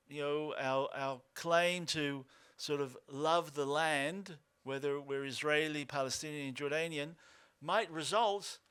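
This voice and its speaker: Aussie guy in his 50s giving a talk, lots of uhs and restarts, kinda high smooth voice. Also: fairly bright tone. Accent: Australian. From Australia. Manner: halting, many fillers and restarts. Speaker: organization co-founder. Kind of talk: speaking at a lunch talk.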